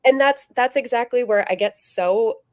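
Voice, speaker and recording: A woman's voice: a little high, normal speed, no room sound. A woman chatting casually and informally as a co-host, often acting out imagined exchanges in her talk. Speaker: book vlogger and podcast-style co-host. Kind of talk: casual chat.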